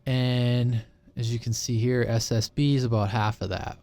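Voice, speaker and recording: A man's voice: mid-range voice, level tone, normal speed, dark tone, no room sound. A man giving a casual, unscripted technical explanation in an informal, rambling way, circling back to repeat his main point. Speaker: presenter explaining radio technology. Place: indoors at a computer, sharing his screen.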